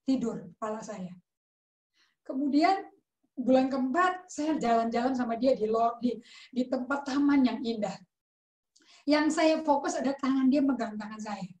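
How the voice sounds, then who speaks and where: Woman, high pitch, pastor, conversational sermon, rhetorical questions, English words mixed in, roomy echo. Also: a little mellow. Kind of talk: informal teaching sermon.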